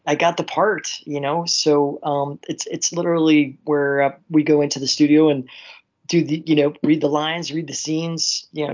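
A man's voice: on the high side, husky, muffled recording. A middle-aged Canadian man chatting casually and effusively as an interview guest, rambling with frequent filler phrases. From small town near Vancouver, Canada.

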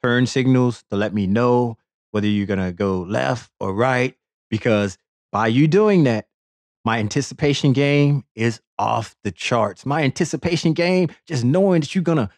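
A man's voice: mid-range voice, creaky, dry recording. A man hosting a sports podcast, telling a playful, boastful story in a rambling comedic monologue with lots of repetition.

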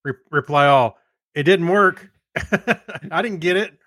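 A man's voice: a little high, measured pace, bright, forceful tone, no echo, clean studio sound. An American man co-hosting a podcast in casual, easygoing, joking conversation. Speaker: podcast co-host. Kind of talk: casual chat.

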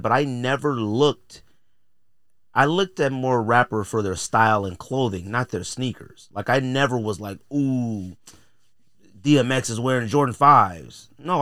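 A man's voice: medium pitch, lively intonation, moderate pace, a bit bright, dry recording, clean studio sound. A middle-aged American man hosting a podcast, talking casually in blunt, slangy, opinionated style. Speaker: podcast host.

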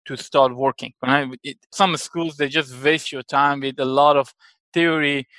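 A man's voice: on the high side, steady tone, unhurried, sharp tone, no room sound. A man in his thirties from Iran, a guest chatting casually in an interview, laughing often and checking in with "correct?". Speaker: guest, company founder.

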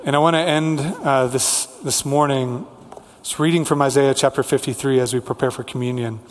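A man preaching a sermon as a pastor, speaking earnestly with deliberate repetition and lists. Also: mid-range voice. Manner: earnest, rhetorical repetition and listing. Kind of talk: sermon with prayer and scripture reading. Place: large reverberant church room. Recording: hall reverb, amplified church preaching.

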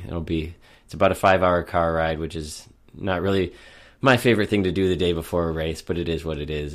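A man co-hosting a running podcast in casual chat, hoarse and a little off from a lingering sinus illness. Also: low voice. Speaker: podcast co-host.

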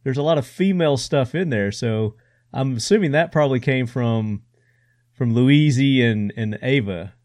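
A man's voice: medium pitch, moderate pace, a little mellow, no room sound, studio quality. A man hosting a podcast, agreeable and affirming, chiming in with short remarks. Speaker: podcast host. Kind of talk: podcast conversation.

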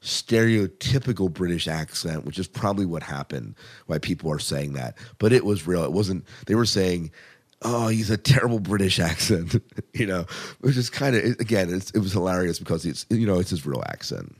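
Deep-voiced man chatting on a podcast. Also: animated, normal speed, husky. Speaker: podcast co-host. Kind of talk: casual TV review chat.

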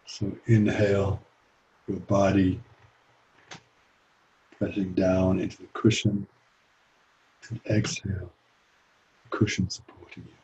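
Guy teaching meditation, deep soft-toned voice, calm and gentle w long pauses, recording a bit muffled. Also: slight background noise. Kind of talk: guided meditation.

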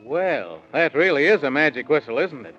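A man's voice: on the high side, very animated, normal speed, faint music behind. A man narrating a 1930s children's radio story, partly in rhyme. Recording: dull vintage 1930s radio recording.

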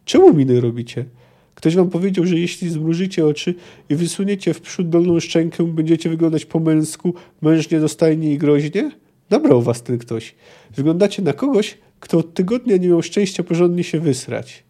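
A man's voice: a little high, expressive, moderate pace, mellow, close to an everyday voice. A man voicing a pompous, overconfident poet in a dramatic novel reading, with a haughty, declamatory manner. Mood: haughty, mocking.

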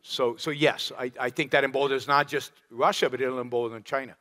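A man giving a lecture and answering audience questions, animated and emphatic, firing off rhetorical questions. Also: mid-range voice, a little fast. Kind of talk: public lecture Q&A. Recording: long hall reverb.

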